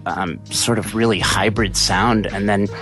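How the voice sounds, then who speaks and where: A man in his forties with a deep voice, chatting loosely as an interview guest with plenty of fillers. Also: a little slow, over music. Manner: rambling, relaxed, many fillers. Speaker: interview guest, musician and actor. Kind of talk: casual interview chat.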